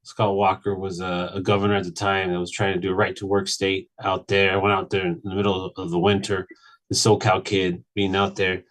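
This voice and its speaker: Earnest, halting man telling his story. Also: low voice, monotone, a bit bright. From Mexico. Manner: earnest, halting with many restarts. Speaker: panel speaker. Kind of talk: personal testimony on a panel. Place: virtual event.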